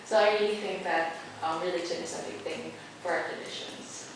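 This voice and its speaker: A woman's voice: fairly low pitch, steady tone, normal speed, creaky. A young woman from the Philippines being interviewed casually, talking in a chatty, giggly storytelling way. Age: young adult. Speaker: interviewee, volunteer trainer. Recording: echoey room, distant mic, faint noise.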